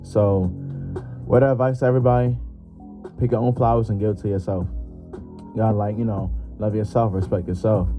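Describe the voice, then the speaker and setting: Young male podcaster, 21, low voice, rambling slangy solo chat, muffled recording over loud music. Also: steady tone, brisk, pauses often. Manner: loose, joking, slangy with lots of fillers. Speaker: podcast host. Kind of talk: casual solo podcast monologue.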